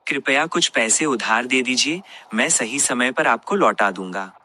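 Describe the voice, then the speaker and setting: Man playing earnest poor blacksmith, bright voice. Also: medium pitch, animated, measured pace, a little hiss or hum. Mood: pleading. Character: poor humble village blacksmith, earnest, pleading. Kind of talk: Hindi moral cartoon story dialogue.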